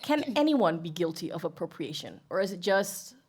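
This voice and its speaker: Low-voiced probing woman moderator. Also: very animated, fairly quick, slightly rough. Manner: probing, brief affirmations. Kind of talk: panel discussion. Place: event venue stage.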